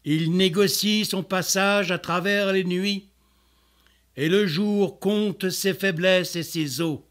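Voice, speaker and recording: Male poet, notably high voice, solemn declamatory recitation, extremely slow delivery. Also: a bit bright. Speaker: poet reciting own poem.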